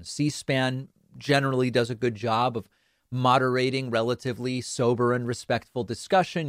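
A man hosting a political commentary show, speaking in a measured, conversational way. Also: mid-range voice, dry recording, studio quality.

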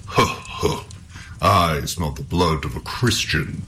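A man's voice: extremely low pitch, takes it slowly, pushed and bright, a little hiss or hum, full character voice. A man voicing a huge, fat, bloodthirsty many-headed troll in a storybook tale. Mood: menacing hunger.

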